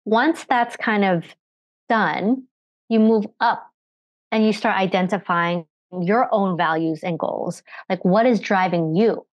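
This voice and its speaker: A woman's voice: mid-range voice, slow, dry recording, lo-fi sound. A woman in her thirties, a coach presenting slides on a video call from home, explaining earnestly with rhetorical questions while recovering from a cough. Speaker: energy management coach. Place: home room with bookshelves. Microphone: video call webcam.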